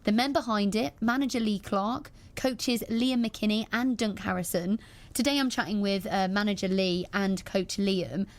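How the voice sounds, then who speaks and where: A woman's voice: mid-range voice, normal speed. A woman presenting a local radio sports interview, encouraging and enthusiastic as she talks with her guests.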